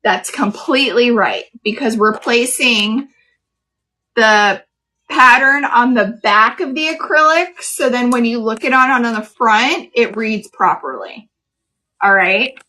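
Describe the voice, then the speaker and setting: A woman's voice: fairly high pitch, takes it slowly, pushed and bright, poor audio. A woman in her late thirties hosting a live craft stream, chatting casually with viewers and explaining each step as she works. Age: 30s. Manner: chatty, laughs often, explains steps.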